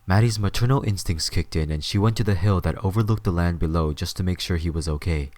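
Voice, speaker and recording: Deep-voiced male story narrator. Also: fast talker, a little mellow, no room sound, clean studio sound.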